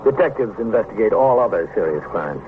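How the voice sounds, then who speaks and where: An American man playing a stern police captain in a vintage radio crime drama, dry, measured and authoritative. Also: lowish voice, animated, natural voice. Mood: terse, instructive. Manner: dry, authoritative, matter-of-fact. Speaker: police captain narrating his case. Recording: noisy, narrow-band old radio recording.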